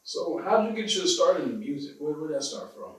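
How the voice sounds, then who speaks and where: A man's voice: fairly high pitch, big pitch swings, fast talker, distant mic. A man hosting a casual interview, greeting with hype and asking short questions. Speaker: interview host. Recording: very echoey, from off-mic.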